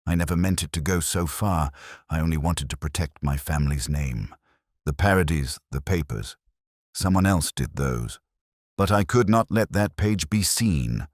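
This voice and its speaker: A man's voice: very deep voice, animated, moderate pace, no room sound, clean studio sound. A man voicing a guilty, desperate town treasurer with a cracked voice, confessing in a detective audiobook. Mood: desperate, pleading.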